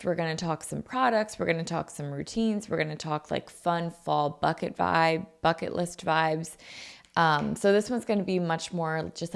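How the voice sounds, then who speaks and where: A young woman chats casually into her podcast mic at home, rambling happily with plenty of "like" and "um". Her voice sits low and rough, roughened further by a sore throat. She sounds relaxed and talkative. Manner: chatty, peppered with "like" and "um". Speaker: podcast host. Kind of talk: casual solo podcast chat. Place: her own apartment.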